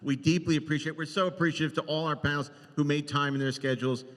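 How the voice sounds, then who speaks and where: A man's voice: a little high, fairly even intonation, fast talker, fairly bright tone. Guy running a live panel, casual, big echoey hall sound. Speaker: panel moderator. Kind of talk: informal live panel moderating. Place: large indoor panel room.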